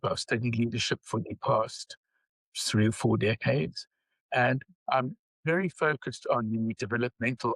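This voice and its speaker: An older man with a South African accent, speaking as an interview guest in a measured way with frequent pauses. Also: medium pitch, fairly bright tone, slightly rough, no room sound, poor audio. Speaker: guest psychology researcher. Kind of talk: interview conversation.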